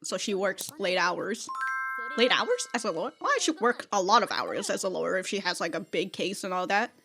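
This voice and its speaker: A woman's voice: mid-range voice, lively intonation, moderate pace, vocal fry, a bit bright. Giggly woman doing a reaction vid, slangy n sweary, voice swings all over, music behind. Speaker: reaction video host. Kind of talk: casual reaction commentary to an anime episode.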